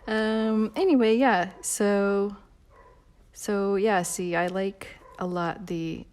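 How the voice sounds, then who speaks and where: A woman leading a live drawing tutorial in a relaxed, rambling way with many fillers, sometimes dropping to a soft near-whisper as she works. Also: mid-range voice, slow, clear, resonant tone. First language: not Portuguese. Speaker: drawing instructor.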